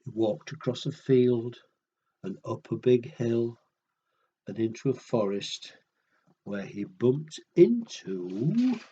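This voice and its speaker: A man reading a story aloud to young children in an expressive way, pausing for little asides and questions to his listeners. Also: mid-range voice, highs cut off, slight background noise.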